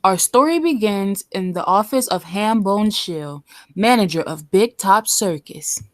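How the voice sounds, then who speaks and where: Unhurried female announcer, dry sound, scripted radio narration. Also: medium pitch, animated, no echo.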